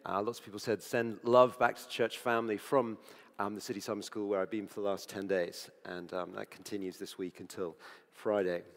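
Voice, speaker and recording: A man preaching with a hoarse, croaky voice. He sounds earnest and conversational, often correcting himself mid-sentence. His voice carries a long echo, as in a church hall. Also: fairly low pitch, level tone, brisk. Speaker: preacher on the church leadership team. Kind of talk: sermon.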